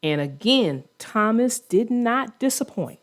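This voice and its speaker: A woman with a fairly high voice and a slow, deliberate pace. She hosts a chat about children's stories for young readers. Her delivery is earnest and emphatic, like someone teaching a lesson. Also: very animated. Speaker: host and children's book narrator. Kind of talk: casual discussion of a children's story for young listeners.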